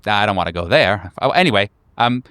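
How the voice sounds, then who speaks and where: A man's voice: on the low side, lively intonation, fairly quick, a bit bright, smooth, no echo. A man voicing an eager, flighty bachelor writer who hesitates and repeats himself as he fusses over words. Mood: nervous, eager.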